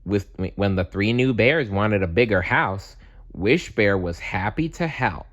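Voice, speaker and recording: A man narrating a children's story aloud with expressive, deliberate phrasing. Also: fairly low pitch, muffled recording. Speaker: storybook reader.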